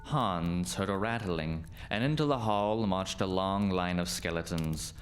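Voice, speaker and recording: Deep-voiced man narrating a storybook. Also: a little slow, over music.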